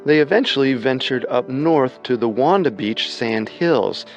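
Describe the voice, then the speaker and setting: A man narrating a scripted true-crime story in a measured, explanatory way. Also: medium pitch, expressive, dull audio. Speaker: co-host narrator. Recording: music under the voice.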